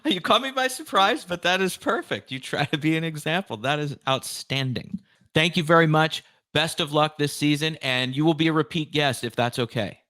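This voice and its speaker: A man hosting a podcast, presenting in clear phrases with an affirming manner. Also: a little high, normal speed, bright, forceful tone, no echo, studio quality. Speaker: podcast host. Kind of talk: podcast interviewing and scripted outro.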